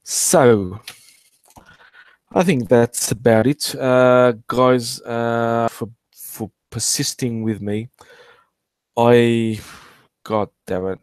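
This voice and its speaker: A man's voice: mid-range voice, steady tone, drawn-out words, pauses often, a little mellow, clear, resonant tone, no room sound. A man hosting a casual live stream about archaeology, rambling with many fillers and restarts, swearing and joking with his chat. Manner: swears freely and jokes with the chat.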